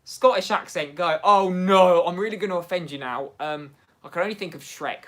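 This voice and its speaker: A man with an English Midlands accent hosting a casual livestream from home, chatting excitably and laughing often as he answers viewers. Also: high voice, normal speed. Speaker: livestream host. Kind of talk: casual livestream chat reading viewer comments.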